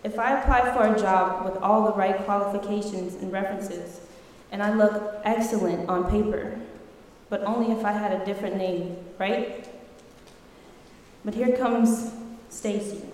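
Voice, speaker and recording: A woman's voice: medium pitch, fairly even intonation, a little slow, darkish tone, slight background noise. A woman reciting her own spoken word poem with impassioned, emphatic rhetoric at a convocation. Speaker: student poet. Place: large echoey hall. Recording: echoey hall with long reverb.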